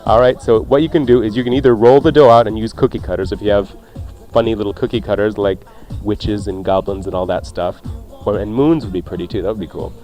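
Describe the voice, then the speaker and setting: A man's voice: on the low side, fairly even intonation, fast, dark tone, faint music behind. A man hosting a radio cooking show, walking through recipes in a folksy, informal, conversational way. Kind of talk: casual conversational recipe instruction.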